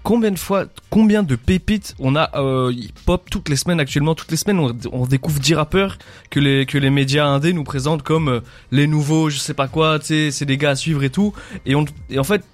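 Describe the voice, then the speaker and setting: A man who is a rapper, arguing on a casual talk panel in a fast, emphatic way, repeating phrases. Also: fairly high pitch, dry recording, faint music behind. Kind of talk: casual debate chat.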